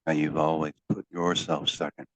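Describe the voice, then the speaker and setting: A man working as a hypnotherapy coach, guiding a session in a deliberate, commanding way with drawn-out pauses and phrases to be repeated. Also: extremely low pitch, flat delivery, fairly bright tone. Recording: dull, echoey lo-fi room sound.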